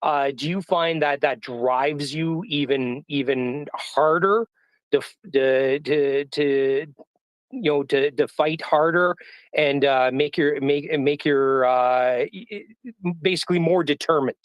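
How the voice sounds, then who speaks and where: Slow, hesitant male interviewer. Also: fairly high pitch, dry recording, dull audio. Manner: hesitant, searching for words. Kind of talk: interview question in a live audio chat.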